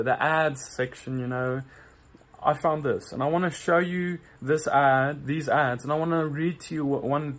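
Male minister, earnest direct-to-camera talk, halting repeats. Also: on the high side, a bit bright. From South Africa. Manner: earnest, repeats words often when searching for them. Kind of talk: casual video talk to viewers.

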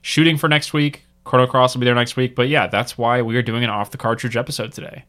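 A man hosting a casual podcast, talking in a relaxed, rambling way with many fillers. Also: mid-range voice, quick pace. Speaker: podcast host.

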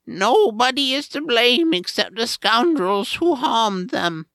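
Gentle Mammy figure, played by a woman: slow, expressive, soothing delivery for a family fantasy audio drama. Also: on the high side, no echo, heavily acted. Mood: consoling. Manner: soothing, drawn-out. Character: gentle, motherly Mammy comforting her child.

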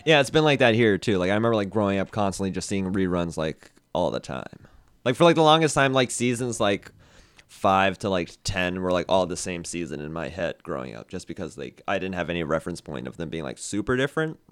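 A man's voice: deep voice, expressive, brisk, smooth, no room sound. A young man from Canada, a comedian guest on a podcast, rambling casually with many "like" fillers.

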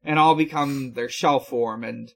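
A man hosting a podcast, giving a casual recap in a halting, hesitant way. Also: medium pitch, steady tone, gravelly, fairly bright tone. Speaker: podcast host. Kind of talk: casual episode recap chat.

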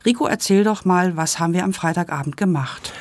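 A woman's voice: lowish voice, brisk, no echo. A woman hosting an audio magazine, addressing listeners and handing over to a co-host.

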